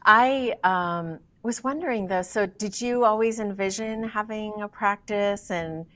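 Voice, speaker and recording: A woman's voice: medium pitch, unhurried, bright, forceful tone, dry recording. A woman hosting an interview, chatting in a friendly way and often affirming her guest. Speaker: podcast host. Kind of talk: casual interview conversation.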